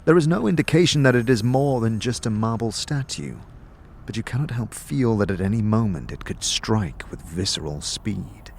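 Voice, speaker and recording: A man's voice: on the low side, lively intonation, moderate pace, a little hiss or hum. A man narrating a fantasy audio drama in the second person, with dramatic, atmospheric storytelling.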